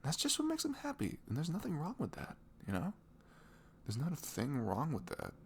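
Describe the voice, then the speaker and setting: A man playing a sweet, caring young boyfriend in an intimate ASMR roleplay, chatting casually and rambling in a velvety low voice. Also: huge pitch swings, moderate pace, creaky, husky, exaggerated voice. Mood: open, musing. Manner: chuckling, informal, loosely rambling.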